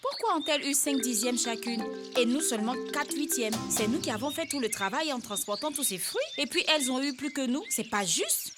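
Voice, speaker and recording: A woman voicing a jealous young girl in an educational cartoon, childlike and quick to protest. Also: high-pitched, animated. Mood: aggrieved. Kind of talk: French-language educational cartoon dub. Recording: loud music, birdsong behind.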